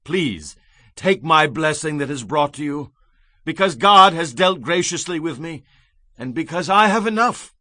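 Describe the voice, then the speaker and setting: An actor playing a humble, pleading patriarch in a dramatized scripture reading, earnest and deferential in manner. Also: male voice, on the high side, animated, unhurried, sharp tone, no room sound, clean studio sound. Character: Jacob, humble pleading patriarch addressing his brother.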